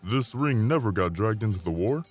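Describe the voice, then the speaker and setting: A man's voice: lowish voice, animated, moderate pace, slightly rough, faint music behind. A man playing an uncertain, questioning marine in an audio drama.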